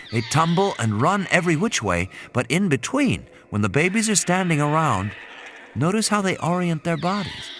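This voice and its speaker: Man voicing an earnest, higher-pitched woman scientist. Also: fairly bright tone, a little hiss or hum. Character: earnest observant woman scientist, insistent and curious. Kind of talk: audiobook character dialogue.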